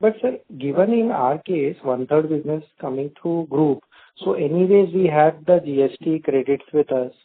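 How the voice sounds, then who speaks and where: A man's voice: a little high, normal speed, slight background noise. A man with an Indian accent politely asking questions as an analyst on a conference call. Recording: thin phone line.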